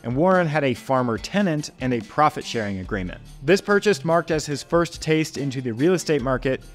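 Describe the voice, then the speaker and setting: A young man in his twenties narrating a scripted money-history video from a small home studio, with steady, upbeat explainer delivery into a podcast microphone. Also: a little high, a little fast, faint music behind. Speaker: finance video host. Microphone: podcast mic on boom in front.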